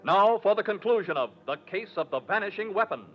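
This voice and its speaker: A man announcing in 1940s radio style, reading scripted narration and sponsor pitches with emphatic, polished salesmanship. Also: expressive, a little fast, soft background music. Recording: muffled scratchy old radio broadcast.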